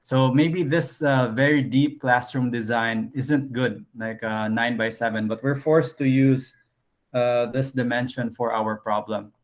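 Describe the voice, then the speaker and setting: A man's voice: fairly even intonation, unhurried. A man teaching an online design class in a casual way, thinking aloud with frequent fillers. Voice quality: low voice.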